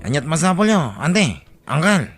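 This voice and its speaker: A man's voice: on the high side, big pitch swings, moderate pace, over loud music. A man playing an unwelcoming, brusque family man with an Ilocano accent in an audio drama. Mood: reluctant.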